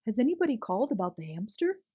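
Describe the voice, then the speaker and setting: A woman voicing a gentle, kindhearted male cab driver in a children's storybook, friendly and tentative. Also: fairly high pitch, big pitch swings, fast talker, slightly rough. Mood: hopeful, asking.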